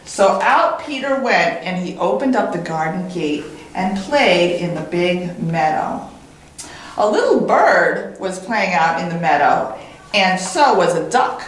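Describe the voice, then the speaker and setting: A woman reading a children's story as a teacher, in an expressive, animated way with dramatic pauses and repetition. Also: fairly low pitch, slight background noise. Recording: very echoey room.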